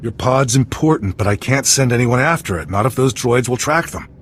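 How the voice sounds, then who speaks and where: An actor playing a pragmatic resistance soldier in a video game, talking in an informal, matter-of-fact military way. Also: male voice, mid-range voice, expressive, brisk, slight background noise, lightly acted. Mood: grim briefing. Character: plain-spoken, pragmatic resistance soldier, adult man.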